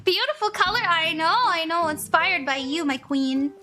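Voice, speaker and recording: A woman's voice: very high voice, normal speed, sharp tone, faint music behind. A woman psychic chatting casually on a live stream, bubbly and giggly, thanking viewers often. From Hawaii.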